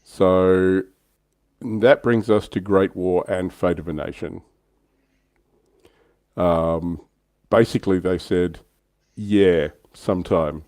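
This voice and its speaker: Aussie guy hosting a hobby stream, really low mellow voice, just chatting. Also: fairly even intonation, takes it slowly, long pauses, dry recording. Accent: Australian. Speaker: livestream host. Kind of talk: casual hobby discussion.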